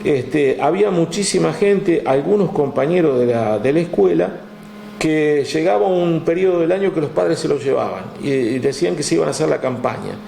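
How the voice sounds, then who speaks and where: A man with an Argentine accent, telling history in a relaxed chat, with many "este" fillers, reading out figures from a text. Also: a little high, normal speed, creaky, roomy sound, slight background noise. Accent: Argentine Spanish. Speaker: guest storyteller. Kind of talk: conversational history talk.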